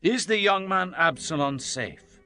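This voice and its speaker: A solemn biblical king and father. His voice is high and bright, the delivery impassioned and declamatory in scripture drama style. Faint music sits behind. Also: expressive, a little slow, exaggerated voice. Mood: anxious.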